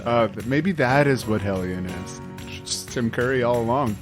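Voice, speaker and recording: Male panelist, casual banter, medium pitch, strong music underneath. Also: animated, normal speed. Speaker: podcast panelist. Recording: loud music behind the voice.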